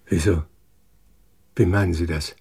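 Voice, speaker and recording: Casting: a timid, reluctant witness, radio drama, husky lowish voice, deferential and halting. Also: male voice, steady tone, dark tone, exaggerated voice. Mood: uneasy, apologetic. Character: meek, uneasy male witness, reluctantly explaining himself. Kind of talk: German radio drama acting.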